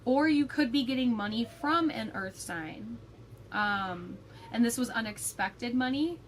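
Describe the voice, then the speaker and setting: A young woman giving a casual tarot forecast, a little raspy from a cold, chatty with frequent ums and likes. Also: a little high, unhurried, a little hiss or hum. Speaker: tarot reader.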